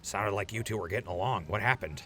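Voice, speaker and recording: A man's voice: on the low side, fast talker, bright, forceful tone, a little hiss or hum. A man playing an easygoing, wisecracking leader of a radio crew in an audio drama, glib and casual. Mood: upbeat, casual.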